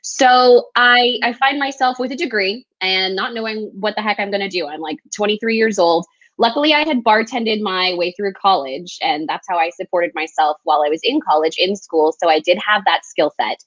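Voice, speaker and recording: A woman's voice: mid-range voice, moderate pace, fairly bright tone, no room sound. A woman from Texas speaks as a podcast guest, recounting her own path in a candid, reflective way. Her voice sounds close and dry, with a slightly dull, muffled tone from the remote call. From El Paso, Texas. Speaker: guest, recruiter. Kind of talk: casual interview storytelling.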